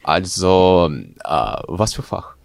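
Young man, low voice, Ukrainian accent. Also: lively intonation, creaky, no room sound, clean studio sound. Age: young adult. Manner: halting non-native phrasing with English words mixed in. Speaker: student. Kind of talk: casual chat.

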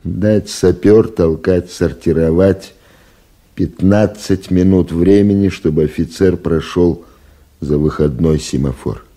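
A man's voice: takes it slowly, warm tone, heavily acted. An actor playing a stern, ruthless male general who gives harsh orders, in a stage play audio recording. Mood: commanding. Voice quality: low, husky, commanding.

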